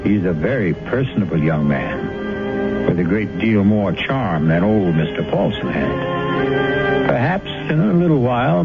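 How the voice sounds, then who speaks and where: A man narrating a scripted radio mystery as its host, in a measured storytelling style. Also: on the low side. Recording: very muffled old radio broadcast. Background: loud music.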